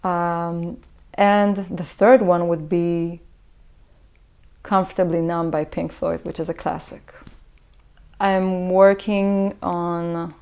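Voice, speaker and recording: A young Israeli woman, a native Hebrew speaker, talks about her work in a low, level voice that barely rises or falls. She thinks aloud, pausing on fillers and restarting her sentences in an unhurried, reflective interview manner. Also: flat delivery, darkish tone, smooth. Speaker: musician. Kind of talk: interview answers.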